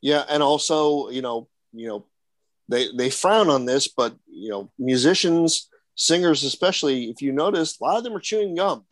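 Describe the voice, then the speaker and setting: A man's voice: medium pitch, moderate pace, no room sound. A man who acts at haunted attractions, talking casually on a panel and rambling with many fillers and restarts.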